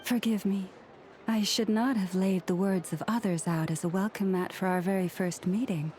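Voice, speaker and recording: A woman playing a serene, gracious moon priestess in a video game, speaking in gentle, formal, poetic phrases. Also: medium pitch, steady tone, normal speed, a little hiss or hum, close to an everyday voice. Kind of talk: video game character acting.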